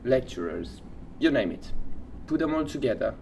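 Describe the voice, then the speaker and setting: A man co-hosting a podcast, casually sharing odd facts in halting, informal phrases. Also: mid-range voice, very animated, darkish tone, a little hiss or hum. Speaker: podcast co-host.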